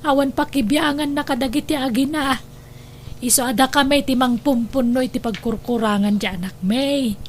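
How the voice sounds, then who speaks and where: A woman playing a fretful, tender middle-aged mother in an Ilocano radio drama, with expressive, drawn-out exclamations. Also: high-pitched, slight background noise. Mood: earnest, pleading.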